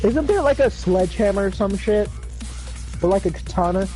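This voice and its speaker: A man with a high, mellow voice chats loosely while gaming, his words trailing into fillers and casual swearing. His voice is going rough and hoarse. Faint music plays behind him. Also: animated, normal speed. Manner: rambling, full of fillers and swearing. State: losing his voice. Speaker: gamer chatting on a livestream. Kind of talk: casual chat.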